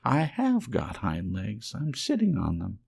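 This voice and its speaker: Man voicing an earnest little toy rabbit, pitched up. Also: wildly swooping pitch, measured pace, vocal fry, a little mellow, husky, heavily acted. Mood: defensive. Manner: earnest, plaintive protesting. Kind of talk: storybook character voice.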